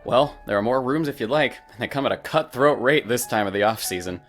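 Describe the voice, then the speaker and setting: A man's voice: medium pitch, fairly quick, fairly bright tone, soft background music, lightly acted. A man playing an affable, wordy hotel clerk in a visual novel, with a dramatic, chatty manner. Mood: businesslike.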